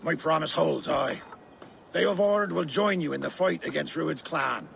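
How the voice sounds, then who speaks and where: Very gravelly, forceful Saxon reeve. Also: male voice, a little high, unhurried, slight background noise, exaggerated voice. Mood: earnest, proud. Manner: formal, archaic, earnest. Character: proud Saxon reeve, loyal old-fashioned local official. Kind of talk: cinematic video game acting.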